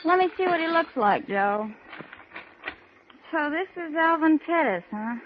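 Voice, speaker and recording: High-voiced, nosy lunchroom waitress. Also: female voice, lively intonation, measured pace. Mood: curious. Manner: slangy, inquisitive. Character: nosy, slangy lunchroom waitress, casual and skeptical. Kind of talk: 1940s radio drama acting. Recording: dull, noisy 1940s radio recording.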